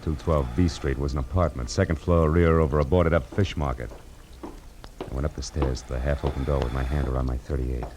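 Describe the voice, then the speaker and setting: An actor playing a tough, cool-headed private detective in 1940s radio noir style, with wry, clipped slang. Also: male voice, extremely low pitch, fairly even intonation, brisk, background noise. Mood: grim narration. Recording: old radio recording with music behind.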